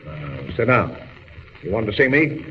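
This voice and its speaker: An actor playing a harried, blunt newspaper editor with a curt, blustery 1940s radio delivery. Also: male voice, mid-range voice, big pitch swings, a little slow, vocal fry. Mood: brusque, skeptical. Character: gruff, harried, impatient male newspaper editor. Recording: muffled, noisy, reverberant vintage radio recording.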